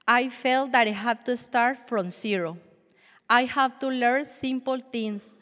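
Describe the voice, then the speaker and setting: A woman in her forties from Colombia, a native Spanish speaker with a Colombian accent, giving an earnest, measured church testimony with small restarts. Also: a little high, fairly bright tone. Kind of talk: prepared personal testimony. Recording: long hall reverb.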